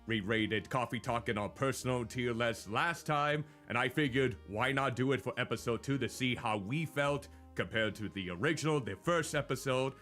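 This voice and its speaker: Loud-voiced chatty man streamer. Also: medium pitch, normal speed, hardly any pauses, soft background music. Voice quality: naturally loud voice. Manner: rambling, chatty, self-deprecating. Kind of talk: casual stream chat.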